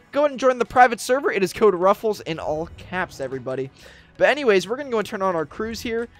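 A man doing upbeat roleplay commentary over a game, shouting commands when things get tense. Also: a little high, dry recording. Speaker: gaming YouTuber. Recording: faint background music.

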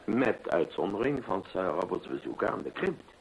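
An actor playing a gruff, agreeable detective's companion in an old radio play, husky and gravelly, giving brief replies. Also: male voice, sits low, very animated, moderate pace, full character voice, poor audio. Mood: doubtful, agreeing.

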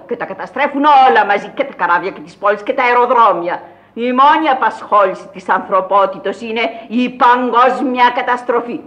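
A woman's voice: fairly high pitch, a bit bright, natural voice. An actress playing an imperious old Parisian madwoman in a radio play, declamatory, commanding and scolding. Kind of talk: theatrical radio drama acting. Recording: dull old radio recording.

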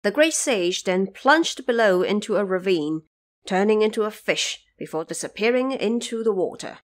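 A woman narrator telling a scripted story for an audio drama in an expressive, measured way. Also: mid-range voice.

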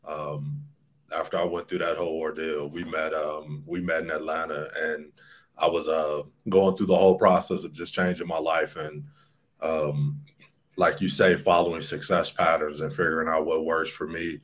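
Male coach guest, deep voice, relaxed reflective tone, video-call sound. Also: normal speed. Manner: relaxed, reflective, low-key. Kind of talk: casual interview answers. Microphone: webcam video call.

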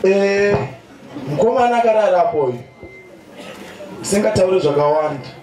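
A man's voice: high-pitched, animated, moderate pace, crowd noise. A young man with a Zimbabwean accent giving a eulogy to a large gathering over a PA. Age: young adult. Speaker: friend giving a funeral tribute. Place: open-sided stadium stand outdoors. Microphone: handheld microphone over PA. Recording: echoey PA in open stand, music behind.

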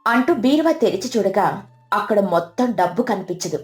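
Female storyteller for an animated moral tale, medium pitch, light music bed. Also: fairly quick. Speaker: narrator. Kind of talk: scripted moral story narration.

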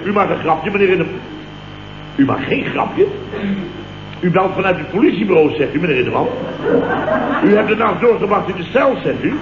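An actor playing a boastful, weary, blustering boss in an old radio comedy, rambling and stammering. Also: male voice, high voice, expressive, a little fast, long pauses, a crowd in the background. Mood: flustered. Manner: rambling, exclamatory, full of stammers and interjections. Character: blustering, boastful, weary male boss and amateur night watchman. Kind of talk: 1970s Dutch radio comedy acting. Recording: echoey, noisy, muffled old radio broadcast.

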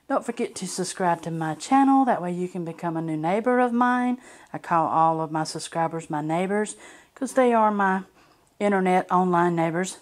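A woman's voice: medium pitch, darkish tone. A woman talking casually and plainly as she narrates a grocery haul on a handheld camera at home. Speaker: home vlogger. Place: indoors at a kitchen or dining table. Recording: noisy home recording, crinkling packages. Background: crinkling packaging, clicks, background noise.

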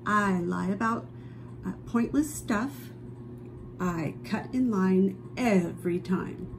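A woman reading a children's picture book aloud expressively, with dramatic drawn-out emphasis on key phrases. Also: medium pitch, noisy background. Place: indoors at a table, book held before camera.

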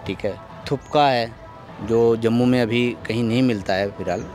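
A man, answering interview questions politely and haltingly, with English words mixed in. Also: medium pitch, darkish tone, soft background music. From Gorakhpur, Uttar Pradesh, India. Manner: polite, halting, many filler phrases, mixes in English. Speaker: food cart owner being interviewed. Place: outdoors at a roadside. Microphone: interviewer's handheld microphone. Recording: noisy outdoor street with traffic.

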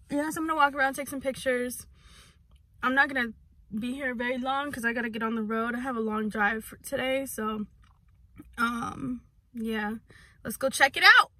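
A woman's voice: a little high, pushed and bright. A young woman talking casually into her phone camera on a road trip, loose and candid with lots of fillers. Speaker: vlogger. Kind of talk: casual travel vlog. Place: hotel room, then car and outdoors.

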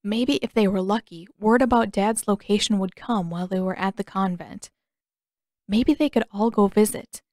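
A woman's voice: mid-range voice, moderate pace, darkish tone, dry recording, studio quality. A woman reading a fan fiction story aloud as scripted audiobook narration. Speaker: podfic narrator and author.

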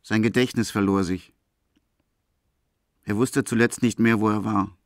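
A man's voice: lowish voice, fairly even intonation, unhurried, dry recording, clean studio sound. A man narrating a literary story for a German audio drama as scripted prose.

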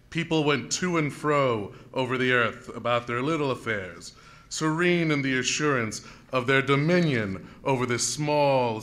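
Man acting as a grave, bright-voiced radio narrator. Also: mid-range voice. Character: grave male narrator of an alien invasion tale. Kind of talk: dramatic scripted radio narration.

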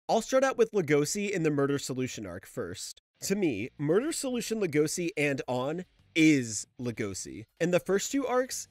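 Animated young man narrating a video essay. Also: fairly high pitch, dry recording. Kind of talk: scripted manga review narration.

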